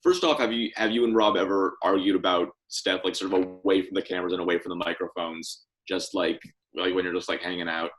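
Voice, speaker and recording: A man's voice: deep voice, steady tone, fast talker, fairly bright tone, low-quality recording. A man co-hosting a sports podcast, asking casual questions in hesitant, piecemeal phrases. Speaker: podcast co-host.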